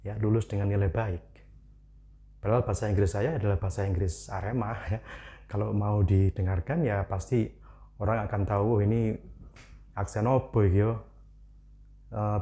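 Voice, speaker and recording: A man from Malang with a Javanese accent, giving a casual, chatty talk to camera with frequent fillers. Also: lowish voice. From Malang, East Java, Indonesia. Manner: relaxed, chatty, frequent "ya" fillers, light laughs. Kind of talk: casual spoken commentary.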